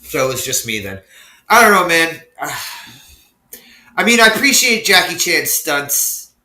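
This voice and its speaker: A man with a slightly raspy voice hosts a casual group chat over a video call. His voice sits a bit high and bright, laughing easily and swearing freely. The echoey room rings around his voice. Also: sing-song, measured pace. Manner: laughing, loose and profane. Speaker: podcast host.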